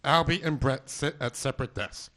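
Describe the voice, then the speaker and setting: A man reading stage directions aloud in a plain, even manner. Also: mid-range voice, normal speed.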